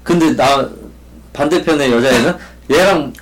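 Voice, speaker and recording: A young man in his twenties chatting casually on a podcast, talking frankly in halting phrases with repeated words. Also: on the high side, poor audio. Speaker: podcast co-host. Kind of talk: casual chat.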